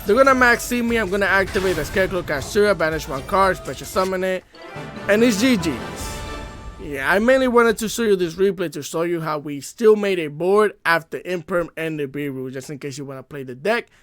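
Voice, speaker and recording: A man's voice: high-pitched, lively intonation, brisk, fairly bright tone, faint music behind. A man with a stuffy, congested voice giving hype, slangy commentary over card game replays. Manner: hype, slangy trash talk. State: congested from a cold. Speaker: gaming YouTuber. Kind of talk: casual gameplay commentary.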